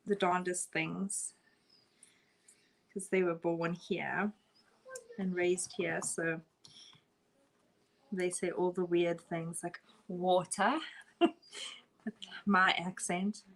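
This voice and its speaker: A middle-aged South African woman leading a live painting tutorial, chatting with viewers, laughing often and dropping to soft murmurs as she works. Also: on the low side, flat delivery, takes it slowly, pauses often, poor audio. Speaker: art instructor.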